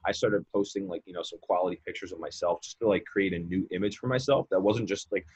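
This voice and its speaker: A man's voice: low voice, fairly even intonation, quick pace, darkish tone, breathy edge, muffled recording. A man in his late twenties, a mindset coach and guest, talking casually in a rambling way with many fillers and repeated words. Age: 20s. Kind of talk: casual podcast conversation.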